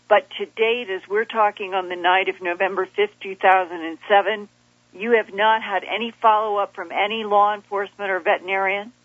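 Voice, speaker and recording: An interviewer asking formal, precise questions and summarizing answers in a measured way. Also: medium pitch, no echo, narrow, tinny audio.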